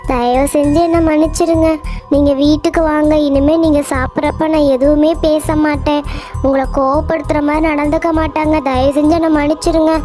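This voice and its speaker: A woman's voice: sits high, flat delivery, fairly quick, dark tone, natural voice. A woman doing a meek, pleading cartoon wife. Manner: pleading, apologetic. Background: background music.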